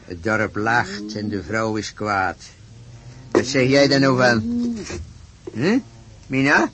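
A man's voice: on the low side, slow pace, background noise. An actor playing a stubborn, gullible rich old farmer in a radio play, blustering and stammering as he repeats himself. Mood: brooding.

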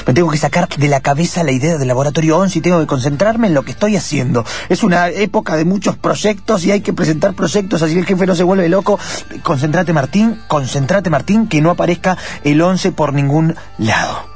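A man's voice: a little high, a little fast, darkish tone, soft background music, lightly acted. A man voicing a nervous, obsessive researcher in a radio drama, agitated and stammering, with an Argentine accent. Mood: anxious resolve. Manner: agitated, stammering, talking to himself.

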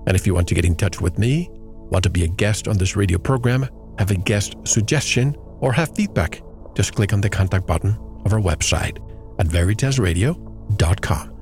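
A lower-pitched man hosts a radio interview show, speaking in a measured, earnest manner. He moves from a scripted introduction into inviting questions for his guest. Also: lively intonation, darkish tone, soft background music.

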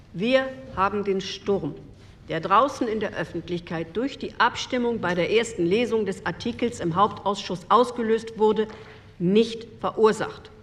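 A woman's voice: medium pitch, natural voice. An actress playing a firm woman delegate who argues her point with pointed rhetoric in a radio drama. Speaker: politician speaking in a committee debate. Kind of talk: radio drama reenactment of a parliamentary speech. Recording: long hall reverb.

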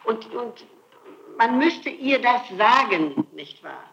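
Middle-aged woman being interrogated, sharp forceful voice, keeps denying stuff, defensive, noisy old 60s tape. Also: a little high, big pitch swings, slow, gravelly, highs cut off. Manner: insistent, defensive, repetitive denials. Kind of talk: police interrogation answers.

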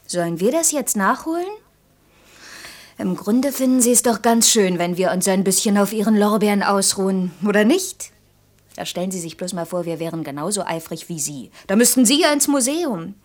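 A woman's voice: medium pitch, animated, normal speed, natural voice. An actress with a German accent playing a cheeky, self-assured young woman in a naturalistic radio drama, speaking in a pert, ironic and challenging way. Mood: mocking, teasing.

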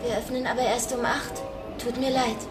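Actress as young female sales clerk, slightly high voice, polite but reserved; radio drama with music. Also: flat delivery, normal speed, natural voice. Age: young adult. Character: reserved, matter-of-fact young female record shop clerk.

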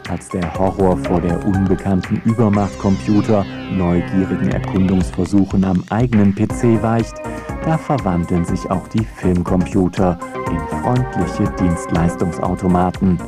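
A man reading scripted radio narration in an ironic, deliberate way. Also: low voice, steady tone, music in the background.

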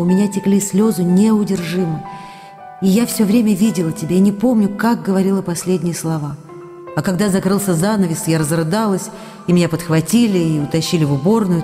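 An actress playing a lonely, adoring wife reading her letters to an absent husband, gently and emotively, in a radio drama. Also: fairly low pitch, lightly acted. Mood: wistful, tearful. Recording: long reverb, faint music behind.

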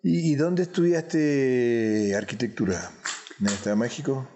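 A man hosting a conversational interview, phrasing his questions in an unhurried, thoughtful way. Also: medium pitch, dark tone, a little hiss or hum. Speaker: radio interviewer and host.

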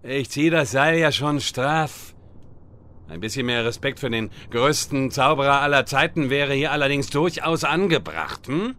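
A man's voice: medium pitch, unhurried, bright, forceful tone. A man as a stammering, mid-voiced pompous wizard. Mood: huffy. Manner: stammering, word repetitions, self-important. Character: distracted, pompous, lazy great wizard. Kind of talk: fantasy parody audio drama.

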